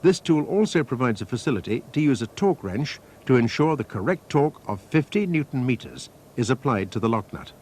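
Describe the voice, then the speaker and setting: A man reading a scripted technical training film narration in a measured, precise, instructional manner. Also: medium pitch, big pitch swings, a little mellow. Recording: noisy archive training-film soundtrack.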